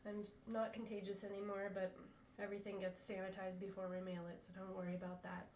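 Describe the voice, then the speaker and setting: Woman flute maker, stuffy from a cold, relaxed product demo, recorded a bit off-mic in an echoey room. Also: medium pitch, quick pace, distant mic, a little hiss or hum. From US Pacific Northwest. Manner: chatty, relaxed, many ums. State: congested after a chest cold. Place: indoor room, talking to camera.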